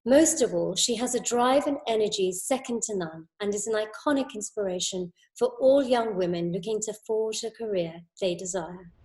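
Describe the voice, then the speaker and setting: A woman's voice: medium pitch, moderate pace, hardly any pauses, darkish tone. A woman hosting an online interview, opening with a scripted introduction and asking friendly questions. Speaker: interview host.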